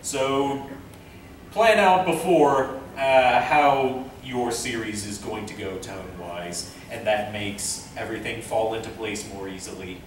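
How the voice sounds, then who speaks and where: A man presenting an informal convention panel, speaking haltingly as he thinks aloud. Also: on the low side. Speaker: panel presenter, amateur director and voice actor. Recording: echoey room from far off, faint noise.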